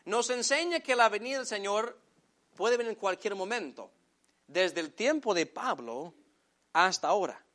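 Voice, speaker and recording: A man whose first language is English preaching a sermon in Spanish, addressing the congregation as brothers and slowing down to stress key phrases. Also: high-pitched, animated, fairly bright tone. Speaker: pastor.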